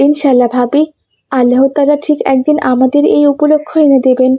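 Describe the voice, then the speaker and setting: A woman's voice: high voice, level tone, a little fast, dry recording, dull audio, natural voice. A woman playing a hopeful, devout refugee neighbour woman in a cartoon story. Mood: hopeful, fervent.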